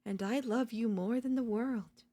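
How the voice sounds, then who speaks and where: A woman playing an obsessive, domineering yandere in a dark ASMR roleplay, seductive and smug, slow and teasing, slipping into whispers. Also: fairly high pitch. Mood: menacing devotion. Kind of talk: dark ASMR audio roleplay, intimate dramatic acting.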